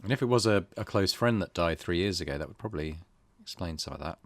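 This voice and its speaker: A man's voice: low-pitched, expressive, quick pace, no room sound. A man giving casual let's-play commentary as a gaming host, thinking aloud and reading on-screen text out.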